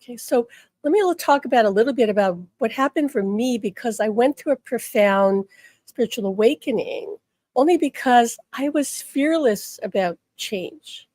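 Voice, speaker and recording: A slightly hoarse woman giving an earnest spiritual talk, often checking in with the listener. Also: a little high, expressive, moderate pace, darkish tone, no echo. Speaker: spiritual teacher.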